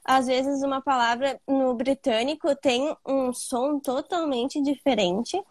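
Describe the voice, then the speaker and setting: A woman student talking casually with her teacher, agreeable and quick to laugh. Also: high voice, fairly even intonation, a little mellow, no room sound, clean studio sound. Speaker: student guest. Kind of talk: casual conversation.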